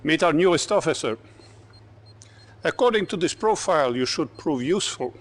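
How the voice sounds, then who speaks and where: An actor playing a cold, ruthless Soviet dictator, curt and menacing, in a video game cutscene. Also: male voice, a little high, expressive, normal speed. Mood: imperious.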